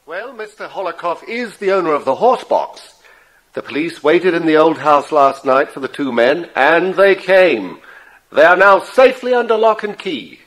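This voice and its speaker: A man's voice: fairly high pitch, very animated, moderate pace, fairly bright tone, low-quality recording. An actor playing a respectable, kindly father in a children's audio drama, speaking courteously as he explains things. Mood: pleased, kindly.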